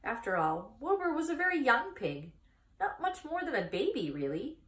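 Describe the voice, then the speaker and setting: A woman in her thirties reading a children's book aloud as expressive storytime narration. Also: high voice, normal speed. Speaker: storytime reader. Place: small indoor room, seated by a wall. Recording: echoey room, distant microphone.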